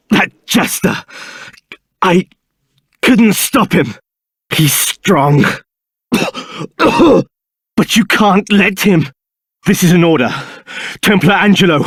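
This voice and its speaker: A cold bossy templar captain in a game, sneery and clipped, voice swings up and down a lot, dry sound. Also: male voice, high voice, animated, slow, pauses often, husky, no echo, heavily acted. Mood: wounded, urgent. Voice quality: haughty, clipped, sneering delivery. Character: arrogant, contemptuous male templar captain, cold and domineering. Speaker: game character voice. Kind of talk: video game voice acting.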